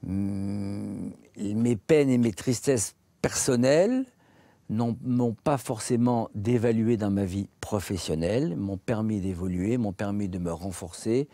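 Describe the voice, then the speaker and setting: A man in his forties with a French accent, a shoe salesman giving an earnest, reflective personal testimony, speaking in measured phrases with pauses. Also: lowish voice, mellow, no room sound. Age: 40s.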